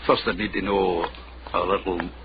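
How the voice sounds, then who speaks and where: An actor playing a sardonic, streetwise Scottish fixer for hire, dry and glib, in a period radio drama. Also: male voice, deep voice, little pitch movement, normal speed. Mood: glib, bargaining. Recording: muffled radio broadcast, clear background noise.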